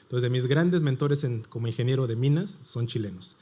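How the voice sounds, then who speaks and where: A man's voice: mid-range voice, brisk, dark tone. A middle-aged man from Mexico with a Mexican Spanish accent, giving a conference panel presentation in an explanatory way and asking and answering his own questions. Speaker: panel speaker.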